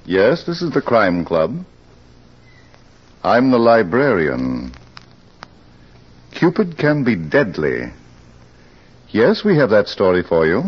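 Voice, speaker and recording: Misterioso bibliotecario, voce molto grave. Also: male voice, fairly low pitch, wildly swooping pitch, husky, heavily acted. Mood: eerily welcoming. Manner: theatrical, measured, confiding. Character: mysterious male librarian host of a crime-story club. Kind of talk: 1940s radio mystery narration. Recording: muffled, hissy old radio recording.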